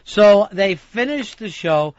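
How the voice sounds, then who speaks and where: A man's voice: sits high, slow, no echo. A man, a radio host, telling a story in unscripted, profane banter. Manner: profane, animated storytelling with acted quotes. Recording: dull lo-fi radio recording.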